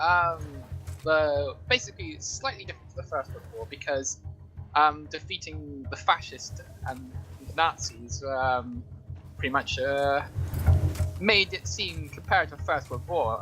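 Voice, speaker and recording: A man's voice: a little high, bright, forceful tone, rough recording. Hesitant man over faint music. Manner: hesitant, measured, careful wording. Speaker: commentary guest. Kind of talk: casual discussion commentary.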